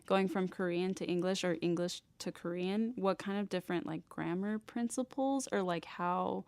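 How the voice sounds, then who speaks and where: A woman's voice: fairly low pitch, normal speed, a little mellow, smooth, no echo. A woman with an American accent chatting informally as a podcast co-host, often saying "like". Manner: chatty, informal, frequent use of "like". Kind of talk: casual podcast chat.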